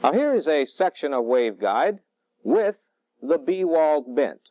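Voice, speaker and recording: Male technical instructor, middle-aged, fairly high voice with varied intonation, measured teaching delivery, old training-film sound. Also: very animated, no echo. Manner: deliberate, didactic, measured phrasing. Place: indoor training film studio. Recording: dull, dry vintage film soundtrack.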